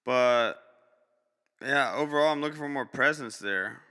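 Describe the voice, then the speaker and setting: A man's voice: unhurried, bright, forceful tone, clear, resonant tone, in a large hall. A young man on a live music-review stream, giving blunt, slangy feedback to artists and swearing casually, recorded on a close studio mic in a small home studio. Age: young adult. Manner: blunt, slangy, swears, jumps high in pitch when reacting. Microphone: studio mic close up, headphones on.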